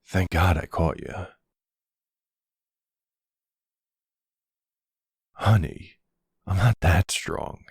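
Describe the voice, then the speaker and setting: Man acting as suave middle-aged mob boss, very deep, gravelly, intimate, flirtatious, ASMR roleplay. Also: dry recording, studio quality, heavily acted. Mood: tender.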